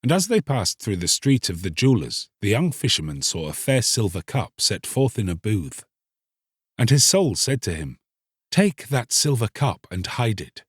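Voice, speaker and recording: A man narrating a literary tale as a scripted audiobook, solemn, deliberate and theatrical. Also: on the low side, big pitch swings, breathy edge, no echo, studio quality.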